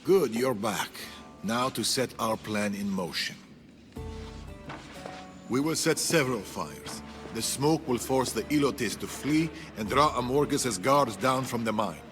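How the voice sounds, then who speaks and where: An actor playing a stern older warrior and tactician in a dramatic video game, terse and grave in manner. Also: male voice, mid-range voice, a little slow, over music, close to an everyday voice. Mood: businesslike. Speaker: ally character in a video game.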